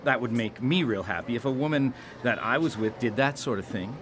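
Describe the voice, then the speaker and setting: A man's voice: medium pitch, a little fast, a bit bright, close to an everyday voice. A man playing a pious, modest small-town man on a date in a live radio drama, polite and hesitant. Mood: earnest.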